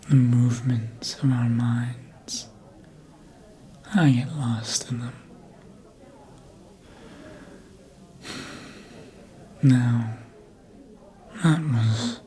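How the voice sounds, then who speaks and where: A performer voicing a sly, seductive, manipulative vampire in a breathy ASMR whisper, coaxing slowly and deliberately as if hypnotizing. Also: mid-range voice, a little mellow. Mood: falsely reassuring.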